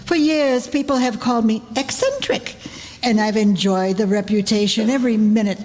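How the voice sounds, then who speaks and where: A woman's voice: on the high side, lively intonation, moderate pace, a little mellow, natural voice. A woman playing an eccentric, wealthy older aunt in a radio play, wilful and theatrical, with sly affection. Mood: gleeful, defiant. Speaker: amateur radio drama player. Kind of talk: old-time radio play acting. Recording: reverberant hall, stage performance.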